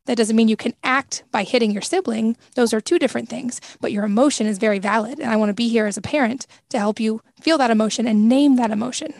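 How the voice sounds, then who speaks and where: A woman's voice: a little high, quick pace, gravelly, few pauses, darkish tone, no echo, clean studio sound. A woman hosting an interview, reflecting aloud on what her guest said and sharing her own experience as a parent. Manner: reflective, thinking aloud with personal stories. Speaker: podcast host. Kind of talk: casual interview chat.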